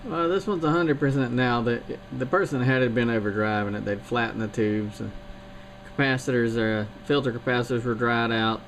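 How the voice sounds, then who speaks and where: A man's voice: medium pitch, steady tone, fairly quick. A man from the South Carolina coast chatting on a CB radio, talking about his gear in a matter-of-fact, technical way. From Charleston, South Carolina area. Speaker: CB radio operator. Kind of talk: CB radio chat. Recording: radio link with loud static.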